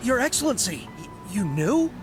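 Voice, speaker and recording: Woman voicing an earnest soldier with lively pitch. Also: normal speed, lightly acted. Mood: pleading, fervent. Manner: earnest, respectful, emphatic. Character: earnest, fervent soldier, deferential to a commander. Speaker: game character voice actor. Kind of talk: scripted video game dialogue. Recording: loud game music behind the voice. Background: music.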